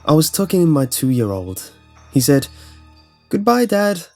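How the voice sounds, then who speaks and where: A man's voice: medium pitch, sing-song, moderate pace, darkish tone. A man reading short first-person horror anecdotes as a scripted narration. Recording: voice-over with music behind. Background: music.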